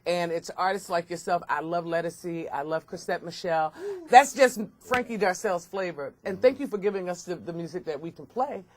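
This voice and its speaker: A woman's voice: on the low side, fairly quick, few pauses. A woman hosting a talk show, chatting effusively with a guest and repeating phrases for emphasis. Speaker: radio and TV talk show host. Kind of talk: chatty celebrity interview.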